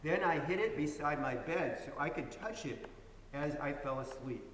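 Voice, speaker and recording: A man's voice: on the high side, expressive, moderate pace, a bit bright. A man reading a scripted first-person story aloud as part of a dramatic reading. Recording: echoey hall, distant mic, faint noise.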